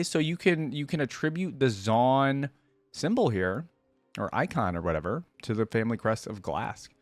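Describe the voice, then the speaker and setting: A man hosting a reaction video, reading aloud and thinking out loud in a casual, conversational way. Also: medium pitch, dry recording.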